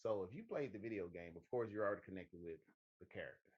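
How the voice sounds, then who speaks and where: A man's voice: lowish voice, lively intonation, quick pace, darkish tone, husky, highs cut off. A man co-hosting a movie talk show, chatting casually in an emphatic, sweary way. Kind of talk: casual chat.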